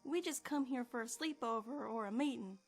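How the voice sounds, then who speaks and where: An earnest, friendly young cartoon filly, voiced by a woman. The voice is high and youthful, with music playing behind it. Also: fairly quick. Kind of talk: fan-made cartoon dub.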